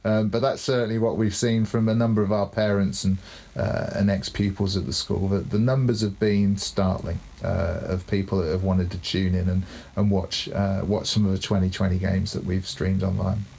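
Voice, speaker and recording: A man's voice: low-pitched, flat delivery, normal speed, vocal fry, darkish tone, a little hiss or hum. A man co-hosting a cricket podcast, giving coaching advice in a thoughtful, casual way and repeating words as he thinks. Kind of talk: casual chat.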